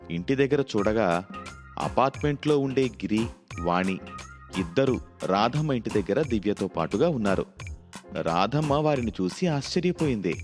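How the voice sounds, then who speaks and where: Low-pitched male story narrator.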